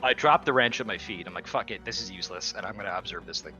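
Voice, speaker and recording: A man's voice: on the low side, fast talker, bright, forceful tone, lo-fi sound. A man playing a tabletop roleplaying game, chatting casually in a blunt, sweary way. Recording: faint music behind the voice.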